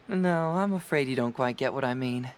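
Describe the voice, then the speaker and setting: A man voicing a sincere, playful young detective in a video game, speaking in a reflective, smooth, lightly teasing way. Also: mid-range voice, slight background noise, natural voice. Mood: amused. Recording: game music behind the voice. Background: game music.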